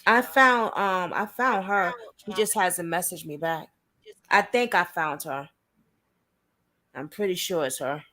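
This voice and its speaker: A woman's voice: on the low side, measured pace, long pauses, pushed and bright. A woman hosting an informal online discussion, talking casually as she thinks things through. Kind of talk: casual chat.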